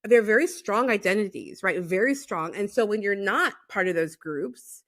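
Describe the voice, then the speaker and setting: A woman's voice: mid-range voice, animated, moderate pace, a bit bright. A woman from Los Angeles hosting a podcast, chatting casually and eagerly affirming her guest. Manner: enthusiastic, lots of affirming backchannels. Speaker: podcast host. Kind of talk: casual conversational interview.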